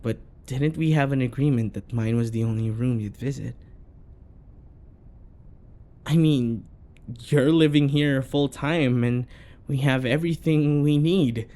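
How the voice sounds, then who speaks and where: A man acting a possessive, jealous yandere boyfriend in an intimate ASMR roleplay, coaxing and passive-aggressive with sweet pet names and quiet menace. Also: mid-range voice, natural voice. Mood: jealous, controlled.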